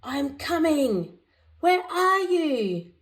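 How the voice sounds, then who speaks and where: A playful little terrier boy, put on by a woman storyteller. He calls out high and feisty, taunting like a pup at play. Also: very animated, drawn-out words, full character voice. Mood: playful challenge. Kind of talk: children's storybook character voice.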